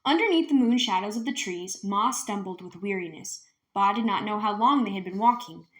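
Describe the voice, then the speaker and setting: A woman with a mid-pitched voice narrates a children's book. She reads as a storyteller, in scripted read-aloud prose. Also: lively intonation, moderate pace, a bit bright.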